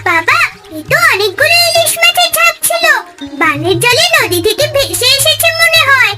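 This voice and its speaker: A woman's voice: very high voice, big pitch swings, moderate pace, loud music behind the voice. A woman voicing a sweet, wide-eyed little village girl in a cartoon, childlike and eager. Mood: delighted. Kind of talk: cartoon fairy-tale voice acting.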